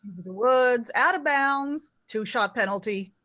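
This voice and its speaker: An actress in a staged play reading, playing a reproachful dead mother who loves golf, speaking deliberately. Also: a little high, lively intonation, a bit bright. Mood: dry, wistful. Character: narrator's dead mother, scolding golf-loving elderly housewife ghost.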